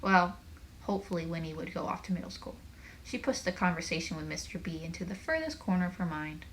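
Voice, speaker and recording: Bright-voiced woman reading a children's book. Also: on the low side, quick pace, a little hiss or hum, rough recording. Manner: animated, chatty asides to young listeners. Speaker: teacher reading a book aloud. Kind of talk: expressive children's read-aloud with asides.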